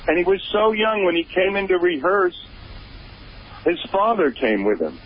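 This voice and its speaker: A man's voice: high-pitched, sing-song, normal speed, lo-fi sound. A man, a music producer guest on a radio show, speaking hesitantly with stumbling starts. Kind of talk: casual radio interview chat.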